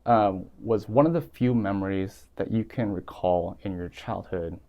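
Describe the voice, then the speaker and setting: A man's voice: deep voice, a little slow, warm tone, no room sound. A young man hosting a podcast in a small studio room, reading a formal introduction and asking questions in an earnest way. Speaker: podcast host. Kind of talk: scripted intro then interview questions. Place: small dim studio room, seated in armchair.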